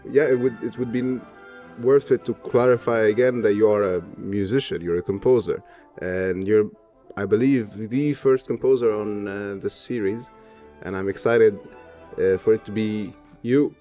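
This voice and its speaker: A man's voice: fairly low pitch, steady tone, normal speed, hardly any pauses, muffled recording, soft background music. A young man from Lebanon hosting a podcast, asking thoughtful questions with many hesitations. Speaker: podcast host. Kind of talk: interview questions. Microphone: studio mic on boom arm.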